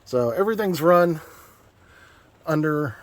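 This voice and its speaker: A man's voice: a little high, lively intonation, slow pace. Casual American man, folksy vlogger. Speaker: vlogger, radio hobbyist. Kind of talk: casual vlog walkthrough.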